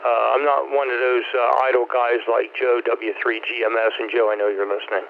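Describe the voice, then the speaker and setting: A man's voice: medium pitch, level tone, fairly quick, vocal fry. A man in his seventies chatting casually over amateur radio as a net host, polite, appreciative and rambling. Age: 70s. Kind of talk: casual ham radio chat. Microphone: two-way radio. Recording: noisy, narrow-band ham radio transmission.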